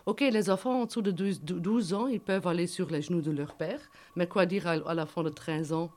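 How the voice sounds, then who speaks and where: A woman's voice: lowish voice, brisk, darkish tone. A woman whose first language is German, speaking French as a guest and prisoner's wife, often searching for words and repeating herself. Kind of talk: earnest testimony.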